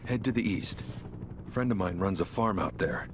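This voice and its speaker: A man playing a weary, measured older innkeeper helping fugitives in a cinematic video game. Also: low voice, noisy background, close to an everyday voice. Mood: calm, resolute.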